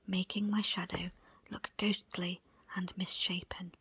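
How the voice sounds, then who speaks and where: A woman reading a book in a breathy ASMR whisper, with soft, unhurried, deliberate phrasing. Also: on the low side, no room sound. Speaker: book presenter and reader.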